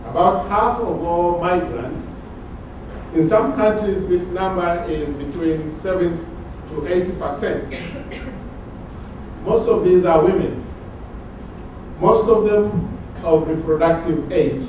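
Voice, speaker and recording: A man from Tanzania giving an academic conference talk, measured and sometimes repeating words as he searches for phrasing. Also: high voice, darkish tone, a little hiss or hum. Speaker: conference speaker. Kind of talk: academic lecture. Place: large conference hall. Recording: very echoey hall from far off.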